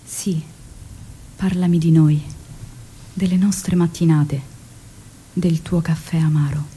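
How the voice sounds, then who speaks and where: An actress playing a revolutionary's devoted wife, wistful and loving, in an intimate stage monologue with hushed phrase endings. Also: female voice, low voice, fairly even intonation, slow, a little hiss or hum. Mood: anguished, loving.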